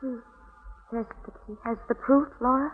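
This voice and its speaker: A woman's voice: high voice, fairly even intonation, normal speed. A woman playing an anguished, regretful, nervous wife in plaintive 1940s radio melodrama style. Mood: shocked, protesting. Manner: plaintive, fretful. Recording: muffled, phone-like 1940s radio recording.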